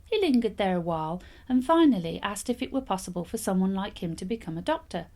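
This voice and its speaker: A woman reading a fairytale aloud as an expressive storyteller. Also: medium pitch, quick pace.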